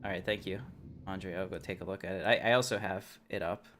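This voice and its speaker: A man hosting a livestream, casually thinking aloud as he plays, in a halting, unhurried, musing way. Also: low-pitched, fairly even intonation, a bit bright. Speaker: livestream host. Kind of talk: casual live game commentary, thinking aloud.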